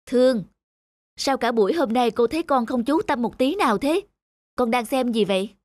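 Woman voicing a tender cartoon schoolteacher, higher voice. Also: lively intonation, moderate pace, no room sound, lightly acted. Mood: mildly reproachful. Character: tender young woman schoolteacher, mildly reproachful. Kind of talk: cartoon voice acting. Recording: loud music behind the voice.

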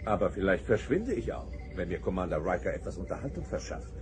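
Smug taunting godlike alien in a radio play, swoopy animated voice, music behind. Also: male voice, low-pitched, fast talker. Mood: mocking. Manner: sardonic, pompous, taunting. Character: mocking, arrogant, theatrical all-powerful male alien trickster. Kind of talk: radio drama acting.

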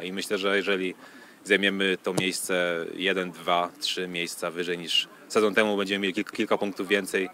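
A man in his thirties giving measured, hesitant answers in a sports interview, speaking into a handheld microphone on a pitch. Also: pushed and bright. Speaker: football club official being interviewed. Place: outdoors on a football training pitch. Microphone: handheld reporter microphone. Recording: outdoor field noise.

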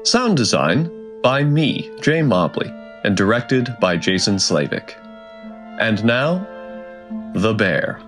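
A man's voice: fairly low pitch, big pitch swings, slow pace, long pauses. A man reading a scripted introduction and credits as an announcer. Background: loud guitar music.

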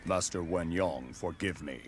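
An actor playing a stern, loyal older general in a video game, speaking in a measured, formal and grave manner. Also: male voice, low voice, slight background noise. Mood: grave gratitude. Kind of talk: dramatic game cutscene acting.

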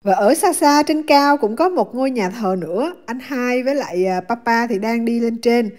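Soft-toned southern Vietnamese woman vlogger, higher voice. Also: animated, moderate pace, faint music behind. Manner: chatty, addresses viewers affectionately. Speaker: travel vlogger. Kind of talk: casual travel vlog narration.

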